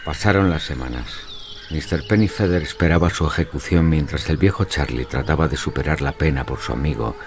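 A man narrating a dramatized mystery story in scripted, measured literary style. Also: very deep voice, a little hiss or hum.

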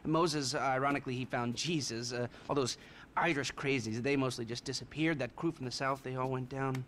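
Actor as a video game heist mastermind, quiet and methodical, light background hiss. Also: male voice, mid-range voice, fairly even intonation, a little fast, close to an everyday voice. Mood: explaining. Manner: soft-spoken, dry, hesitant with fillers. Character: nerdy male heist planner, dry and methodical.

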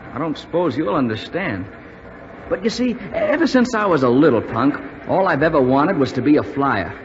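An actor playing an earnest, self-doubting young army pilot trainee in 1940s radio drama style. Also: male voice, mid-range voice, slight background noise, close to an everyday voice. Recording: dull 1940s radio broadcast.

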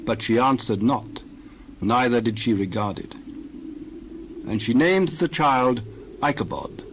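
A man narrating a dramatized scripture reading in a measured, steady way. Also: mid-range voice, a little hiss or hum, low-quality recording. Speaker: scripture reader.

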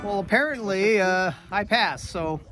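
A man in his fifties chatting casually to camera as a vlogger, with halting, hesitant phrasing and frequent pauses. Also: sits high. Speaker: vlogger and competitive shooter. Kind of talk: casual vlog chat to camera. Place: outdoors at a range and inside a moving vehicle. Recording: loud music under the voice. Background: music.

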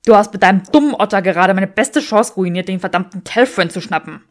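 A woman's voice: mid-range voice, fairly quick, natural voice. A woman voicing an irritable, arrogant male wizard with a sneering, snarling delivery, in a fantasy audiobook. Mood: furious, snarling. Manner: snarling, hissing.

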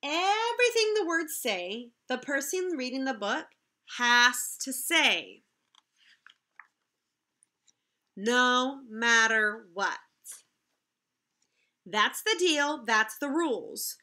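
A woman's voice: sits high, lively intonation, slow, sharp tone, exaggerated voice. A woman playing an exasperated grown-up forced to read a silly children's book aloud, theatrical and protesting. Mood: mock serious.

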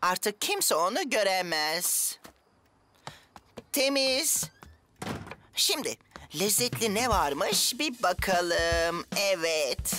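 A woman voicing a mischievous, bossy, excitable young boy in a cartoon dub. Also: medium pitch, extremely slow, long pauses, full character voice. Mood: bossy, scheming. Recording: music bed behind the voice.

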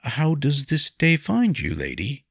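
A man voicing a haughty, proper nobleman in an audiobook, formal and forceful, indignant and uneasy. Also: mid-range voice, wildly swooping pitch, a little slow, gravelly, husky, no room sound, clean studio sound, voice pushed up, exaggerated voice. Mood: uneasy, surprised.

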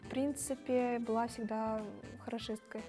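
A woman's voice: fairly high pitch, fairly even intonation, moderate pace, over music. A woman in her twenties from Kazakhstan answering interview questions lightly, with a lapel mic. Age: 20s. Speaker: show participant. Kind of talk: casual interview. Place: studio with grey backdrop.

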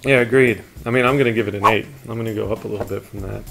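A man's voice: lowish voice, fairly even intonation, very fast, gravelly, a bit bright, soft background music, rough recording. A man in his thirties, a regular guest on a casual beer tasting show, easygoing and agreeable. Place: indoor studio set with wooden backdrop.

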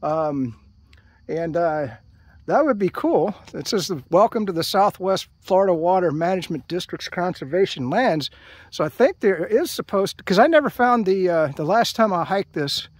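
Older man, American accent, high voice, halting rambling delivery, casual hiking vlog, dry outdoor sound. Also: dry recording. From United States. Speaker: hiking vlogger. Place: outdoors at a trailhead by open fields. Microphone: handheld camera held by speaker.

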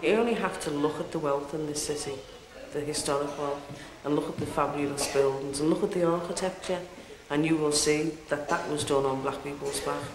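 Low-voiced woman in an echoey room. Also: steady tone, a little fast, crowd noise. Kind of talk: impassioned documentary interview. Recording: echoey room, clear background noise.

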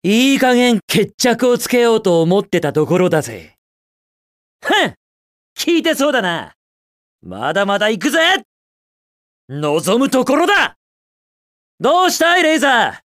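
An actor playing a cocky, upbeat young hedgehog hero in a video game, with a brash, casual, self-assured delivery. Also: male voice, very high voice, wildly swooping pitch, a little slow, pauses often, a bit bright, no room sound, clean studio sound, heavily acted. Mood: taunting, confident. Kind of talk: Japanese video game voice clips.

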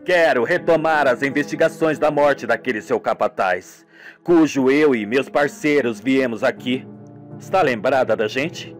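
A man's voice: medium pitch, measured pace, soft background music, voice pushed down, close to an everyday voice. A man playing a formal, probing male police investigator in a radio soap.

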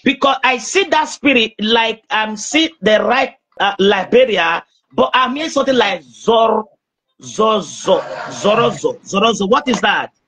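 A young man speaking as a prophet praying over someone on a video call, insistent, halting and repeating himself. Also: high voice, animated, a bit bright, slight background noise. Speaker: preacher, self-styled prophet. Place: small indoor room. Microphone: phone at arm's length on video call.

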